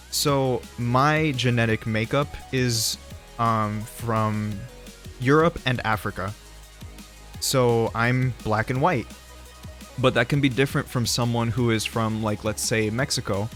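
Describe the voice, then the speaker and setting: A man's voice: mid-range voice, a bit bright. A young man livestreaming, chatting casually with his viewers. He rambles, laughs often and swears freely. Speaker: streamer. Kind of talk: casual livestream chat, reading chat aloud. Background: faint background music.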